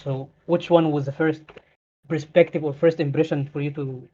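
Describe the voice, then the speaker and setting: A man interviewing a guest in casual chat, phrasing his questions haltingly and restarting often. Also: fairly high pitch, steady tone, warm tone, slightly rough. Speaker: interviewer, server admin. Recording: dull lo-fi mic.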